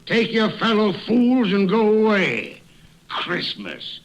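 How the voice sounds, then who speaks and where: An actor playing a grim, bad-tempered old miser in a radio drama, barking and grumbling scornfully. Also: male voice, sits high, very animated, takes it slowly, dull audio, background noise, full character voice. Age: older. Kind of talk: 1930s radio drama acting. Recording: old radio broadcast with music behind.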